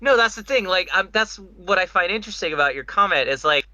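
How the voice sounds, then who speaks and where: A man co-hosting a podcast in casual group chat, joking and rambling in an animated, slangy way. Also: high voice, quick pace, rough recording. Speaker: podcast co-host.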